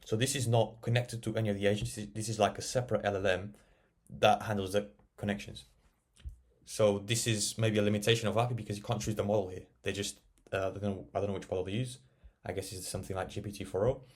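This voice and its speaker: Guy doing a casual screen share tutorial, kinda low voice, talks really fast, thinks out loud as he explains. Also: little pitch movement. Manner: explanatory, thinking aloud while working. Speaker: tech tutorial presenter.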